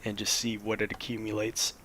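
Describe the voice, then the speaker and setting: A man, a little hoarse after a cold, casually explaining a modded game as he plays, with plenty of ums and restarts. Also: on the low side, unhurried, a little hiss or hum, poor audio. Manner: relaxed, rambling, lots of fillers. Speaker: Minecraft let's play host.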